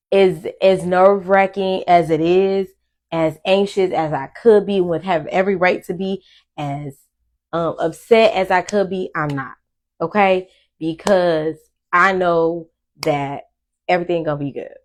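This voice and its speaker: A woman in her thirties, speaking, hosts her own talk show and addresses viewers directly. She is blunt and candid, swearing without hesitation. She rambles through her thoughts, with plenty of "um"s and restarts. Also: lowish voice. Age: 30s. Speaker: podcast host. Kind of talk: casual solo monologue to viewers.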